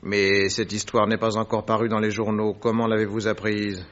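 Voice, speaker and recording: Male actor as composed detective, lowish pitch, incisive polite questioning, French radio drama, dull recording. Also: flat delivery, fairly bright tone, close to an everyday voice. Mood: probing, polite. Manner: measured, incisive, courteous.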